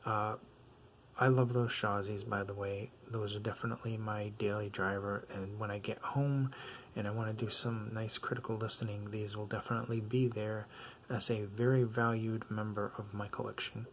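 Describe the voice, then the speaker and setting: A man's voice: on the low side, flat delivery, normal speed, a little hiss or hum. A man with a mixed Floridian and New England accent, reviewing earphones at his desk in a rambling, filler-heavy, enthusiastic way. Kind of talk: casual product review.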